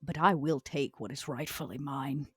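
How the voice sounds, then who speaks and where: A woman's voice: extremely low pitch, normal speed, breathy edge, no room sound, full character voice. A woman playing a proud, scornful old vampire in a tabletop roleplay, with haughty, theatrical, formal phrasing. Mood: proud, defiant. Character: proud, scornful old female vampire named Vera, elegant and ambitious.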